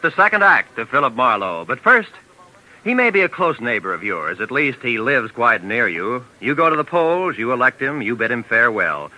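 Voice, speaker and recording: Male American network announcer, 1940s style: crisp emphatic delivery, animated pitch, hard bright tone, vintage radio sound. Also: poor audio. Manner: polished, emphatic, rhetorical. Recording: old 1940s radio recording.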